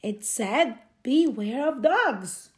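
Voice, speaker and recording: A woman reading a children's picture book aloud expressively, with theatrical, drawn-out emphasis on key words. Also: sits high, lively intonation. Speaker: storybook reader.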